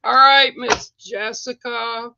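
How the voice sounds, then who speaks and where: A live-stream host with a rough, hoarse voice, chatting casually with viewers and greeting them by name between coughs. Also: mid-range voice, level tone, slow pace, highs cut off, very noisy.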